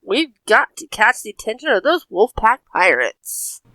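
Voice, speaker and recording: A woman's voice: on the high side, very animated, moderate pace, sharp tone, no room sound, rough recording. Woman playing a bossy, swooping girl pirate. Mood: scheming. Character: bossy, scheming, spirited young female pirate navigator. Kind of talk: anime audio drama acting.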